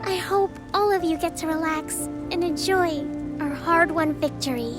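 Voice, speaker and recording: A soft-spoken young woman who leads an island people, polite and tactful. Her voice sits very high, with clear game music underneath. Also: normal speed. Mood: addressing crowd. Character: young female island leader, gentle and tactful strategist. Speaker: military leader. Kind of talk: video game voice acting.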